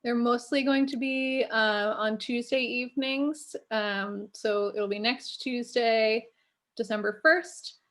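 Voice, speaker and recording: A woman hosting an online community event with an informal welcome, speaking in a somewhat halting way. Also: a little high, fairly bright tone. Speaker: host, assistant library director.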